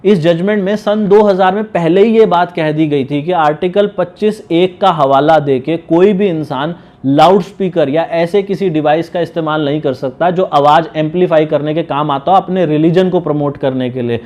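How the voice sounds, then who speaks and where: A man speaks in a slightly high voice. He explains legal matters informally, like an advocate addressing viewers. His manner is didactic, and he often rephrases his key points. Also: normal speed, darkish tone, highs cut off.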